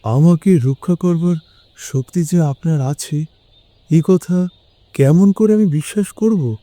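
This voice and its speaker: A man playing a wary, frightened middle-aged gentleman in an audio drama, hesitant and suspicious as he talks. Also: a little high, slow, no room sound. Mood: alarmed, doubtful. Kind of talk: Bengali audio drama acting.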